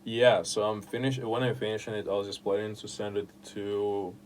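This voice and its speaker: A young man whose first language is Russian, talking casually about his writing in an interview and often pausing to search for words. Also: fairly low pitch, level tone, a little mellow, a little hiss or hum. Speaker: podcast host and writer.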